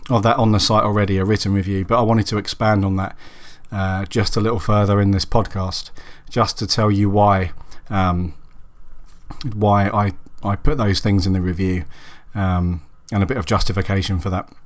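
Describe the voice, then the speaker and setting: A low-voiced man talks through his solo podcast, and a cold leaves him raspy and husky. He chats casually and loosely, full of ums and restarts. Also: level tone, normal speed. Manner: rambling, with many ums and restarts. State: hoarse from a cold. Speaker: podcast host.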